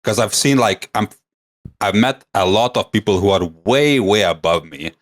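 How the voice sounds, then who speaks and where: Man, Lithuanian-mixed English accent, deep voice, rambly podcast guest. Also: animated, normal speed. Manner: rambling, many fillers and restarts. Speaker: guest, streamer. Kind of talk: casual podcast chat.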